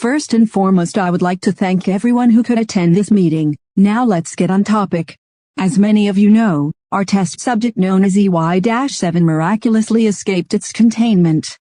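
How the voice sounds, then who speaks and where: Woman as mellow, formal laboratory boss. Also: medium pitch, moderate pace, dry recording, studio quality, lightly acted. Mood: formal, grave. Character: formal female lab boss, composed, suspicious. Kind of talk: amateur audio drama acting.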